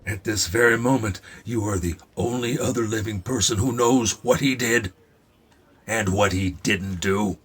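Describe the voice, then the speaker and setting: An actor playing a dying old whistleblower in an audio drama, a prodding, emphatic mentor with a husky, strained voice. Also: male voice, fairly low pitch, a little slow. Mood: insistent, urgent.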